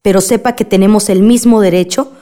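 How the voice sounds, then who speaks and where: A woman's voice: medium pitch, fairly even intonation, a little fast, warm tone, natural voice. A middle-aged woman playing a polite but firm village councilwoman and mother in a radio drama. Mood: politely firm.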